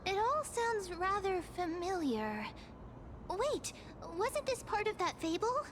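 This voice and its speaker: Tiny, squeaky-high, childlike magical companion. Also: female voice, very animated, slow pace, noisy background, full character voice. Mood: puzzled. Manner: bright-eyed, exclamatory, childlike. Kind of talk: video game story dialogue.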